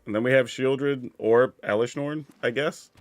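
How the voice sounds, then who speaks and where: A stuffy-nosed man talks through a live stream in an easygoing, chatty way, thinking aloud as he plays. His voice sits at an ordinary middle pitch. The sound is dry and close, with no room around it. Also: a little slow, no room sound. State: congested from a sinus cold. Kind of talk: casual live-stream chat while playing.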